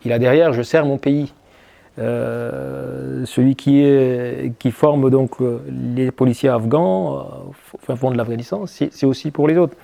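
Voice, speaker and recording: A man's voice: medium pitch, steady tone, unhurried, gravelly, mellow. A man as an interview guest, answering reflectively with many hesitations and restarts. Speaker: guest, army officer.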